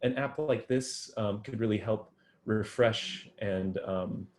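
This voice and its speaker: A man's voice: fairly low pitch, takes it slowly, darkish tone. A man answering interview questions about his research, thoughtful and full of ums and restarts. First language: English. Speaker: guest researcher.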